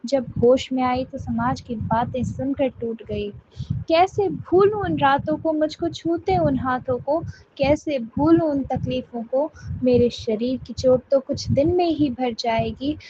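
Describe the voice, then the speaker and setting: A woman's voice: sits high, slow pace, warm tone, husky. A woman reciting a dramatic poem in an emotional, impassioned, declamatory way. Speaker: poetry reciter. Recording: dull lo-fi sound with faint noise.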